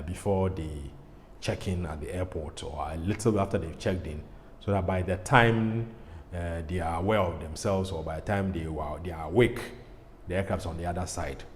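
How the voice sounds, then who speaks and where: Deep-voiced Ghanaian man in an echoey room. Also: normal speed, darkish tone. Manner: emphatic, repeats phrases. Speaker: pilot host. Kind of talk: explanatory educational presentation. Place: indoor studio. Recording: echoey hall-like studio, faint noise.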